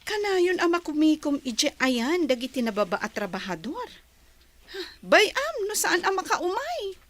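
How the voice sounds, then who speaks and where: An actress playing an envious, gossipy office worker who whines and complains in a radio drama. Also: female voice, high-pitched, big pitch swings, a little slow, a bit bright, heavily acted. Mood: complaining.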